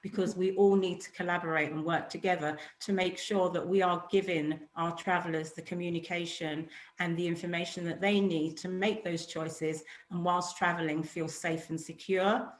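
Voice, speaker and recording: A woman with a fairly low voice speaks in a businesslike way, running through lists of points. She talks over a video call. The room around her is echoey. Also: fairly even intonation, normal speed, few pauses. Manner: businesslike, piling up lists and restarts. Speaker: corporate travel buyer, panelist. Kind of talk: webinar panel discussion.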